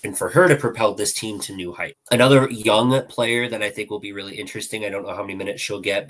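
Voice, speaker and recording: A man's voice: low-pitched, a bit bright, poor audio. A man giving earnest hockey analysis as a podcast guest, hedging often and talking over a video call. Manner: earnest, hedges often with "I think".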